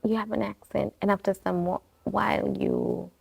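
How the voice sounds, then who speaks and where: A woman's voice: mid-range voice, steady tone, moderate pace, creaky, breathy edge. A woman, a bookstore owner being interviewed in her shop, talking in a reflective, rambling way that wanders and corrects itself. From Kenya. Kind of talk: casual interview conversation. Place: her bookstore and coffee bar.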